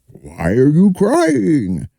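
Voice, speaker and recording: A man voicing a sad little dog in a folk tale, drawn-out and plaintive. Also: fairly high pitch, wildly swooping pitch, gravelly, dark tone, slightly rough, no echo, voice pushed up, heavily acted. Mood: mournful.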